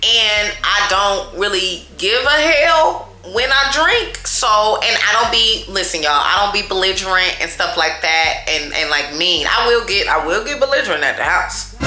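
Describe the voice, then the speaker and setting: A woman telling a storytime to her viewers in a loud, chatty, animated way, talking straight to them as y'all. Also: medium pitch, normal speed, echoey room, soft background music. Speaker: storytime vlogger.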